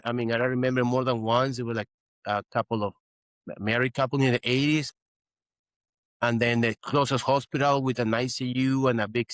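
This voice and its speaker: A man's voice: medium pitch, no echo. A middle-aged man from Peru, a guest physician in an interview, explaining earnestly and often saying "you know". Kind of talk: interview conversation.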